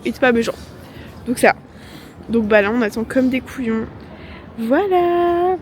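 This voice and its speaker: A woman's voice: on the high side, animated, a little slow. A young woman travel vlogger chatting casually to camera in an airport, joking and slangy, tired from the trip, with lots of fillers. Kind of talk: casual vlog chat to camera. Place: airport, noisy public areas. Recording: noisy ambience behind.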